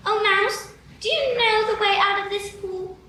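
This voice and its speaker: Woman as curious young girl, very high, bright, roomy echo, distant mic. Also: a little slow, slight background noise, heavily acted. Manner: wondering, apologetic, chatty. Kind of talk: live radio play acting.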